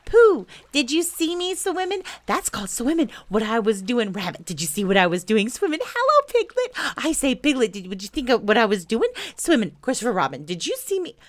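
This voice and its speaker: A woman voicing a small, boastful young male kangaroo child in a storybook, squeaky and eager. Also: animated, takes it slowly, a bit bright, heavily acted. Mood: proud, excited. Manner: squeaky, eager, breathless with pride. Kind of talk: storybook character voice.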